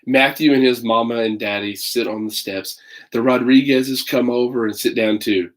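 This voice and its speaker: A man reads a picture book to children in a mid-pitched voice. His delivery is that of a chatty storyteller, slipping between narration and casual, folksy remarks to whoever is listening. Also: normal speed. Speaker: read-aloud storyteller.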